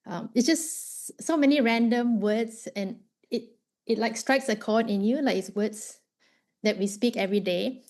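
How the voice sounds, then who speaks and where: A woman's voice: on the high side, a little slow, a little mellow. A woman from Malaysia speaking up on a video call, chatty and full of fillers. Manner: chatty, with many likes and ums. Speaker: audience member. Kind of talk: casual chat.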